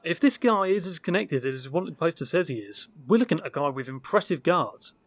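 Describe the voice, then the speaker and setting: An easygoing fantasy adventurer in an audio drama, played by a man. He has a fairly high male voice and a wry, teasing delivery. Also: lively intonation, a little fast, no room sound.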